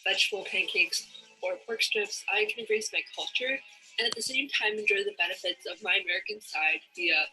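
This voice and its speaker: Very bright young woman's voice. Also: medium pitch, animated, a little fast, faint music behind, rough recording. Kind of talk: prepared personal testimonial. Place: bedroom at home.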